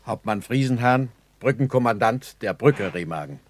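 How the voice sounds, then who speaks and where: An actor playing a practical, doubtful German engineer captain in a 1960s radio play, reporting in a matter-of-fact soldierly way. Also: male voice, mid-range voice, expressive, normal speed, no echo, natural voice. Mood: formal.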